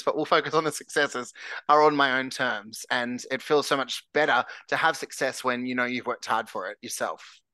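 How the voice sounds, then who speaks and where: Candid Australian man, bright tense voice. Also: medium pitch, clean tone, no room sound. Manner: earnest, candid, self-described larrikin. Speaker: singer-songwriter guest. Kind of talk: casual interview chat.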